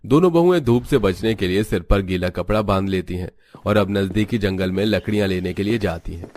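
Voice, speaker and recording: Guy narrating a cartoon village tale, lowish voice, reading from script, slight noise in the back. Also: a little fast, a little mellow. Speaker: story narrator.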